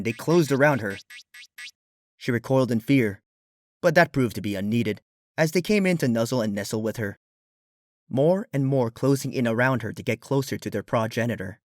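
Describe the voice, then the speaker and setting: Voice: medium pitch, no echo, clean studio sound. A storyteller reading a scripted fictional narration.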